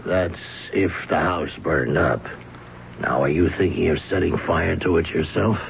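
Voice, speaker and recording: An actor playing a cold, menacing older bookie in a 1970s radio drama, gravelly, blunt and unhurried in his threats. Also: deep voice. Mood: wary, businesslike. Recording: dull, noisy old radio recording.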